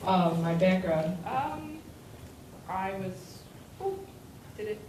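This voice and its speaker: A woman's voice: medium pitch, expressive, takes it slowly, darkish tone. A young woman from Puerto Rico on a panel, giggly and flustered as she speaks. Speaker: student panelist. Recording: very echoey hall, far mic, noisy.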